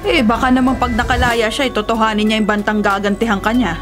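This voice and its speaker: A woman's voice: a little high, flat delivery, fast, close to an everyday voice. An actress playing an anxious wife, fretting insistently over her husband in a radio drama. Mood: indignant, worried. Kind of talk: Tagalog radio drama acting. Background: dramatic background music.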